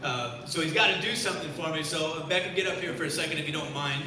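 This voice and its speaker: A man emceeing an event, excited and stammering as he calls someone to the stage. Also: fairly high pitch, quick pace. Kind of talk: stage announcing. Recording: echoey room, far from the mic, noisy.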